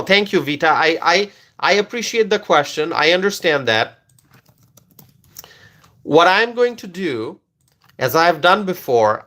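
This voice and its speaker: A man from Bangladesh with Bengali as his first language hosts a political live stream, his voice sitting a little high and ringing very bright. He drives his points home by repeating them, and he keeps checking in with his viewers. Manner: repetitive, emphatic, checks in with "okay?", answers chat questions. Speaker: livestream political commentator.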